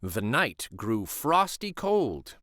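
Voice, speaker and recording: A man reading a picture book aloud as a children's story host. His telling is slow and soothing, with a pitch that rises and falls lively and a bright tone. The sound is close and dry. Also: dry recording. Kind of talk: storybook read-aloud narration.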